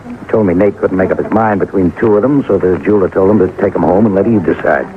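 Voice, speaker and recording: A man's voice: low voice, fast talker, lightly acted. An American man playing a shrewd, persistent police sergeant in an old-time radio detective drama, speaking in a terse, businesslike way. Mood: businesslike, intent. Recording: tinny, noisy old radio recording.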